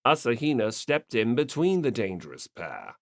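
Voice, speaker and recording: A man's voice: mid-range voice, sing-song, normal speed, dry recording, clean studio sound. A man reading scripted story narration as an audiobook narrator.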